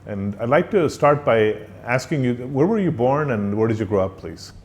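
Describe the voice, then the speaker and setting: A man interviewing a guest, asking questions politely and deliberately with some hesitant phrasing. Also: medium pitch, lively intonation. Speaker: interviewer, professor.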